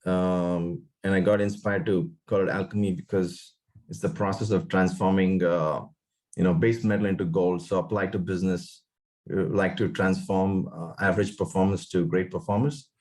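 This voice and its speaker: A man introducing himself and his firm on an online panel over a video call, measured and with some fillers. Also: low-pitched, steady tone, darkish tone. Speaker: company founder, panelist.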